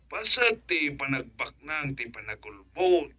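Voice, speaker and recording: Boastful Filipino schemer, wildly swooping voice. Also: male voice, mid-range voice, sing-song, a little slow, sharp tone, dry recording, exaggerated voice. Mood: sly, cajoling. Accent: Ilocano Filipino. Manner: boastful, cajoling, exclamatory. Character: money-obsessed scheming village husband, boastful gambler, sly tempter. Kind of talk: Ilocano radio comedy drama acting.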